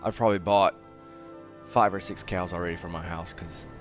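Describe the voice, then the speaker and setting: A man's voice: low-pitched, fairly even intonation, fairly quick, soft background music. A man with a congested, sniffly head cold, giving casual, rambling game commentary as the host of a livestream.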